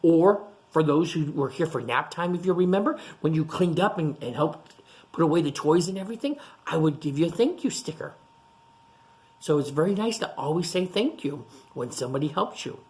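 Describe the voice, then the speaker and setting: A woman teacher reading a picture book to young children, expressive and pausing to explain things simply in child-directed speech. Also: sits low.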